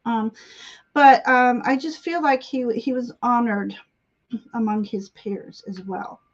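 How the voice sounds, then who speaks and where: A woman giving intuitive readings on a live stream, congested and halting, often clearing her throat. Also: fairly high pitch, steady tone. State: congested sinuses, voice slightly giving out. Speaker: guest psychic tarot reader. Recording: dull, lo-fi stream audio.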